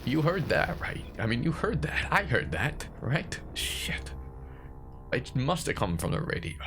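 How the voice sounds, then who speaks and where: A man's voice: mid-range voice, creaky, fairly bright tone. A man playing an anxious, grieving older brother recording confessional horror voice notes, jittery, stammering and questioning himself. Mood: shaken, self-doubting. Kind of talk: found-footage horror audio monologue, confessional voice notes.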